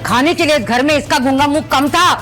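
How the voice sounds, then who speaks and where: A woman's voice: high voice, quick pace, a bit bright, faint music behind. An actress playing a bitter, sarcastic stepmother who scolds melodramatically in a Hindi audio drama. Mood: angry, scornful. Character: shrill, bitter, sarcastic stepmother, scornful of the poor.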